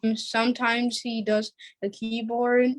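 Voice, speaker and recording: A female interview guest giving simple, halting conversational answers. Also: a little high, little pitch movement. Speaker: play cast member, guest.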